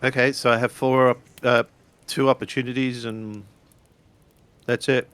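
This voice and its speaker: A man's voice: mid-range voice, little pitch movement, moderate pace, a bit bright, clear, resonant tone, no room sound. A man narrating his character's actions casually as a player in a tabletop game.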